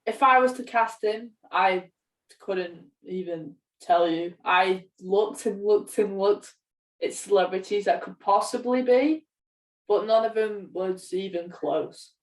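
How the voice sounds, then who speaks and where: A woman's voice: mid-range voice, takes it slowly. A woman co-hosting a podcast in casual, conversational chat. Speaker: podcast co-host. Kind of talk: casual podcast chat about films and games. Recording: echoey room, distant from mic.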